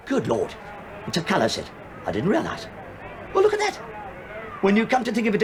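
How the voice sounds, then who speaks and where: Rambling, crafty English police inspector, animated. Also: male voice, sits high, slight background noise. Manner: chatty, hesitant, mock-apologetic, digressing. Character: rambling, falsely affable, crafty older male police detective inspector. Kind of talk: radio play acting.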